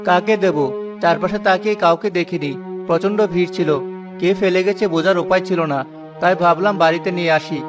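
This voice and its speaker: A man's voice: sits high, steady tone, normal speed, over music, close to an everyday voice. Man acting an earnest, honest poor porter. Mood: anxious, earnest. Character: poor, honest porter, a devout, steadfast husband and father. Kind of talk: Bengali cartoon moral-tale acting.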